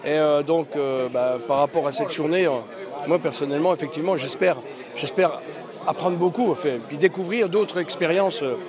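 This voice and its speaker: Male participant, fairly high pitch, halting repetitive interview answer, crowd behind. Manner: halting, repeats phrases. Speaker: event participant being interviewed. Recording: clear crowd chatter behind.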